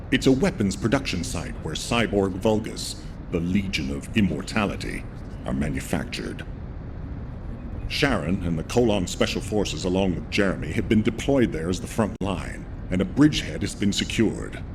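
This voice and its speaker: A very low-voiced male commander for a game cutscene, solemn and resolute. He briefs with measured authority while a clear rumbling noise sits behind him. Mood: grave, businesslike. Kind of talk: scripted game cutscene acting.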